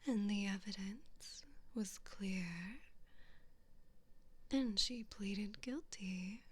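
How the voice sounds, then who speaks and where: A woman playing a haughty, cruel evil queen in a whispered ASMR roleplay, imperious and condescending toward those beneath her. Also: mid-range voice. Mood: cold, impatient. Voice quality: breathy whisper. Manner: slow, imperious, condescending, drawn-out phrasing.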